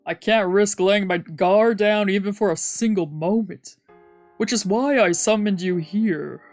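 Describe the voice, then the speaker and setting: A woman voicing a stern male gang boss, formal and measured, in a visual novel read-aloud. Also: mid-range voice, darkish tone, no room sound, natural voice. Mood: grave, firm. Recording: music behind the voice.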